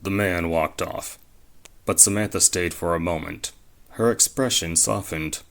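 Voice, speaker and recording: Male narrator, very low and gravelly, steady story reading. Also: measured pace. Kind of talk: audio drama narration.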